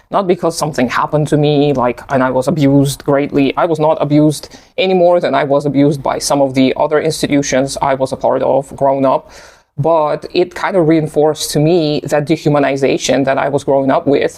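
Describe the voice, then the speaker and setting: A man in his thirties whose first language is Russian, giving thoughtful, measured personal commentary in English with an occasional soft laugh. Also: on the high side. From post-Soviet country. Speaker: video essayist.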